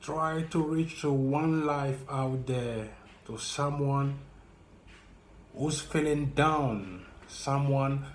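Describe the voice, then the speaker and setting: A man with a French accent speaking earnestly and haltingly to camera as a YouTuber, repeating himself as he reaches out to viewers. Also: mid-range voice, roomy sound, slight background noise. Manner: slow, earnest, halting and repetitive. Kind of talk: heartfelt direct-to-camera talk.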